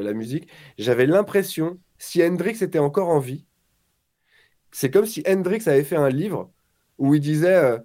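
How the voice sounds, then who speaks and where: Animated man in his forties. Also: on the high side. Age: 40s. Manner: animated storyteller, acts out quotes. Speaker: podcast guest. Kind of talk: casual chat.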